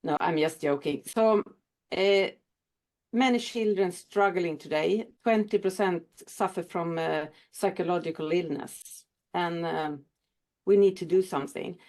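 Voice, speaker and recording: Woman with a lowish voice. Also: a little mellow. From Sweden. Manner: halting non-native phrasing with many pauses. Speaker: founder introducing her business. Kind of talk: self-introduction at an online networking meeting.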